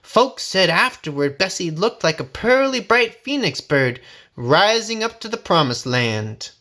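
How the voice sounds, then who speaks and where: A man reading a folksy first-person story aloud in a dramatic, expressive, suspenseful way. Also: high voice, measured pace. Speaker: storybook reader.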